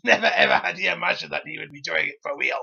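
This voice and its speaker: A narrator with a creaky, raspy voice giving a comic, theatrical dramatic reading of fan fiction. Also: medium pitch, quick pace, highs cut off, a crowd in the background.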